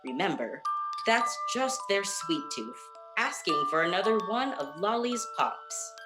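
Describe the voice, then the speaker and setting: A woman's voice: mid-range voice, sing-song, unhurried, music in the background. A woman playing a blithely sincere grown-up giving an earnest testimonial in a 1940s-style radio commercial. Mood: blithely upbeat.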